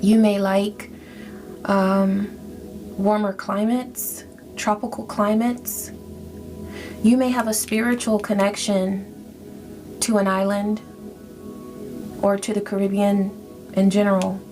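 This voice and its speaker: A woman giving a spiritual tarot reading to her viewers, speaking in a soft, affirming way and drawing out her phrases. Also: medium pitch, little pitch movement, takes it slowly, long pauses. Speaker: tarot reader. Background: background music.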